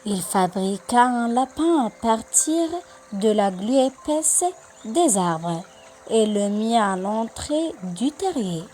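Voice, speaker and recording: A woman storyteller reading a scripted bedtime tale for children in an expressive, deliberate way. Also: on the high side, slight background noise.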